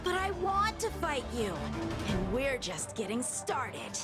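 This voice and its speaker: A woman's voice: high voice, very animated, slow, loud music behind the voice, heavily acted. A woman playing a proud young swordsman in a video game, earnest, formal and intense. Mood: combative. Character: proud young swordsman boy, entranced and hungry for combat. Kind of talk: dramatic video game voice acting.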